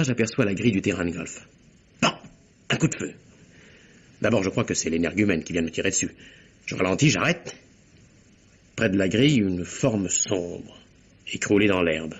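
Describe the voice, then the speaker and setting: An actor playing an articulate young secretary in a vintage French radio mystery, telling his account with theatrical, deliberate precision. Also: low-pitched, husky, exaggerated voice. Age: young adult. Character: composed, articulate young secretary explaining himself under suspicion. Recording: dull, muffled old radio recording.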